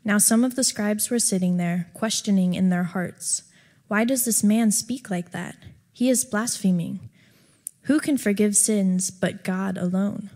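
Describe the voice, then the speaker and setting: A woman reads scripture aloud in a steady, measured voice. Her tone is mellow and her pitch is middling, with long reverb from the sanctuary around her. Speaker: scripture reader. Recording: long church-hall reverb.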